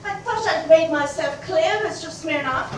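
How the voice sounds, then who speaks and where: A woman plays a cold, proud young widow who talks down to everyone. Her voice is very high and distant. It is wrapped in heavy echo with clear background noise, as on an old stage recording. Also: normal speed. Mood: haughty. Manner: prim, haughty, indignant.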